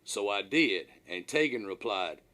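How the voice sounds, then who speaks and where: Man narrating with very animated, swooping pitch. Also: a little slow. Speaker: author reading own audiobook. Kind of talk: scripted first-person storytelling narration.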